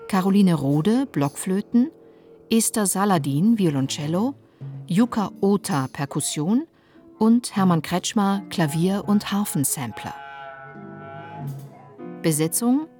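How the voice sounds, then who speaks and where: A woman's voice: fairly low pitch, animated, measured pace, dark tone. A woman announcer reading radio drama credits in a neutral, formal manner. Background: faint music.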